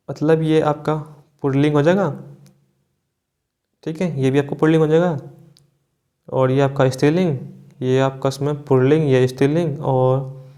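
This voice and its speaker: A man's voice: mid-range voice, dark tone. A man teaching an online exam class, explaining question by question, repeating points and often adding short check-in tags. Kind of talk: online class working through multiple-choice questions.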